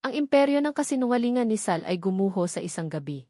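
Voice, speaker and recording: A woman's voice: medium pitch, very animated, quick pace, mellow, no room sound. Filipino woman narrating a story, close dry sound. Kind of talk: scripted dramatic storytelling narration.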